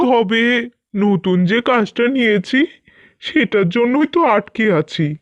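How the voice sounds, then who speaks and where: A man's voice: high voice, no echo. Guy voicing kind middle aged village dad in a cartoon, soothing, slightly muffled dry audio. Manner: tender, reassuring. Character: caring middle-aged village father, patient husband. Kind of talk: scripted cartoon dubbing.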